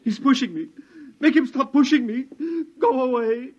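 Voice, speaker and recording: An actor playing a terrified madman locked in a cell, pleading in a shrill, panicked, sobbing voice. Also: moderate pace, a little mellow. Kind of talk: 1950s radio acting. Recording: dull 1950s radio broadcast.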